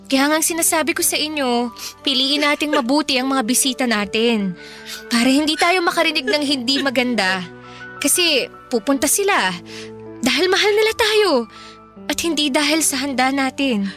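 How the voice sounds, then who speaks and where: An actress playing a gentle, sensible Filipino teenage daughter in a radio drama, earnest and soothing. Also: female voice, high voice, animated, normal speed, long pauses, faint music behind. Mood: reasoning.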